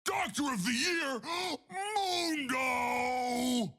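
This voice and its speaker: An actor playing a huge, deranged, dim but cheerful brute who thinks he is a doctor, in a video game, speaking gravelly broken childlike sentences. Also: lively intonation, very slow, shouting, noisy background, heavily acted. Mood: shouting, manic. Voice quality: gravelly, raspy. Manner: childlike broken grammar, booming exclamations. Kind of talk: exaggerated video game voice lines.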